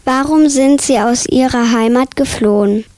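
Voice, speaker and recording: High-voiced woman interviewer. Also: normal speed, darkish tone, no echo. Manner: brief, polite formal questions. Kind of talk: asking short interview questions.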